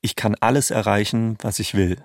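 A man's voice: on the low side, steady tone, normal speed, no echo, clean studio sound. A man hosting and narrating a documentary podcast from a script.

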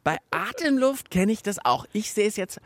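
A man's voice: high-pitched, big pitch swings, normal speed, no echo. A man co-hosting a children's science podcast in a playful, chatty style. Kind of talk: playful scripted chat.

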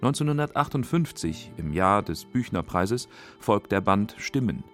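Man, low voice, scripted documentary narration, music behind. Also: very animated, a little slow, a bit bright.

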